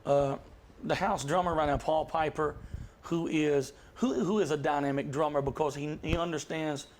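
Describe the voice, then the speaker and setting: A man's voice: fairly high pitch, steady tone, normal speed, a little hiss or hum. A man who hosts a jam session and sings, talking casually and emphatically on a podcast. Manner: emphatic storyteller, quotes others in a raised voice. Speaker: jam session host and singer.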